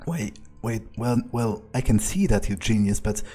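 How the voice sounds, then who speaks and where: A man's voice: lowish voice, lively intonation, normal speed, dark tone, husky. A man roleplaying an irritable, cynical vampire in a video game, muttering bluntly and thinking aloud. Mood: impatient. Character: irritable, foul-mouthed, cynical male vampire errand-runner.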